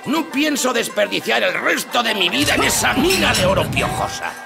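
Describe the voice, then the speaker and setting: An actor voicing a scheming, boastful Wild West gold miner in a cartoon, with a gravelly grumble. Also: male voice, very high-pitched, big pitch swings, normal speed, exaggerated voice. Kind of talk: animated cartoon dub. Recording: loud music behind.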